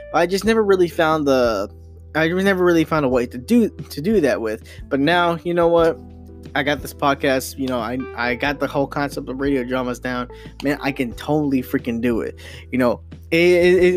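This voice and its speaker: A young man hosting his own podcast, talking alone in a rambling, excited way with many fillers and restarts. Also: fairly high pitch. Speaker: podcast host. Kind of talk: solo casual podcast monologue.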